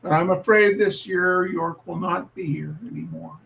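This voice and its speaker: A man hosting a reading night, telling personal stories informally in a wry, deliberate way with pauses and repeated phrases. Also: sits high, steady tone, creaky, a bit bright, poor audio. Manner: deliberate, halting, with dramatic pauses and repetition. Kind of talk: informal spoken introduction with personal storytelling.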